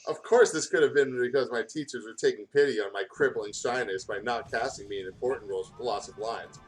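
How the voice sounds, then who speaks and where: A man's voice: medium pitch, brisk, poor audio. A man reading a story aloud in a jokey, casual way, as a host who chats informally as he goes. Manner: informal, sweary, breaks off to riff. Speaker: gaming channel host reading fan fiction aloud. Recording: music playing behind the voice. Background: music.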